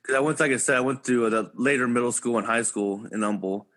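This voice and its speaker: A farmer from Houston chats as a podcast guest. His voice is bright and mid-pitched, and he tells his stories in an easygoing way with a few fillers. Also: fast talker. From Houston, Texas. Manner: easygoing storyteller, some fillers. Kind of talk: casual interview chat.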